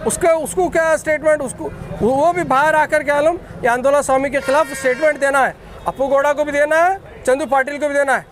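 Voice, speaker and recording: A man's voice: extremely high pitch, a little hiss or hum. A man with a Deccani Urdu accent making an emphatic, accusatory, repetitive impromptu political statement to the press. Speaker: political activist.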